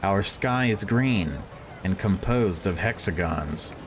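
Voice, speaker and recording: A man reading a visual novel aloud as a let's player, mixing expressive narration with wry, casual asides. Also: low voice, slow, noisy background. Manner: wry, joking commentary between read passages.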